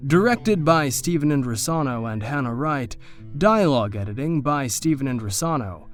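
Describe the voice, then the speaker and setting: A man's voice: mid-range voice, expressive, normal speed, faint music behind. A man announcing the cast and crew credits of an audio production in a scripted read.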